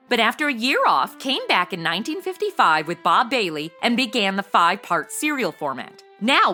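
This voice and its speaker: Bright-voiced woman host narrating over music. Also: medium pitch. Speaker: podcast host. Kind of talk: scripted informative narration.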